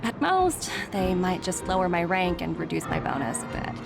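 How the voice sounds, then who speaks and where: A woman's voice: lowish voice, very animated, normal speed, gravelly. An actress voicing a confident, gracious young woman executive in a video game, polite and breezy. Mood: breezy, reassuring. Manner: breezy, polite, self-assured. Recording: game music behind the voice.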